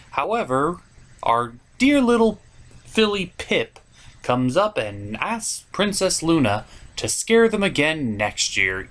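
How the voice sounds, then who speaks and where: A man's voice: medium pitch, a bit bright. A man delivering a ranting, profane review as an online host, exasperated and emphatic.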